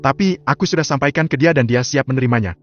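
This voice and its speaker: A man voicing a cold, ruthless older crime boss who talks in a flat, curt way. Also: medium pitch, fast, voice pushed up, close to an everyday voice. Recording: slightly muffled, soft music behind.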